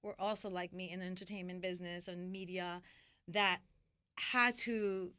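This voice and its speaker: A middle-aged woman from New York talks through her career in a loose, animated way, circling back with fillers and restarts. Her voice is bright and smooth, with a clean resonance. The sound is dry and close, with no room around it. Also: lowish voice, normal speed, no echo. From New York (Washington Heights). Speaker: interview guest, magazine founder. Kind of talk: casual interview storytelling.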